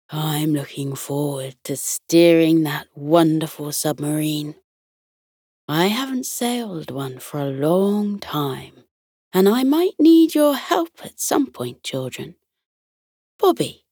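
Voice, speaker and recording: A woman voicing a kindly male teddy bear sea captain with a deep, calming, slow and courteous way of talking. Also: slightly rough, dry recording, studio quality, full character voice. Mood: warm, friendly.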